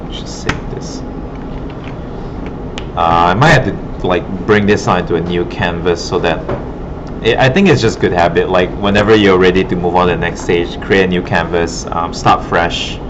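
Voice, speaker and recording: A man's voice: low-pitched, fairly even intonation, moderate pace. A man working as an art instructor, giving a casual classroom demo and thinking aloud informally as he works. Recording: muffled, noisy broken classroom audio.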